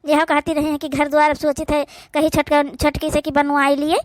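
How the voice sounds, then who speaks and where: A very high, closely recorded voice with an Awadhi accent opens up this meddling young sister-in-law played by a woman. She is a gossipy cartoon schemer who presses her point insistently to stir up family quarrels. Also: little pitch movement, normal speed, dry recording, close to an everyday voice. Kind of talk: village cartoon dialogue.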